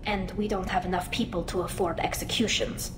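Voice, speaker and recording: A woman's voice: medium pitch, steady tone, fairly quick, reverberant, over music, lightly acted. A woman playing an earnest young alien woman accused of treason, speaking formally in video game dialogue. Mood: resigned, worried.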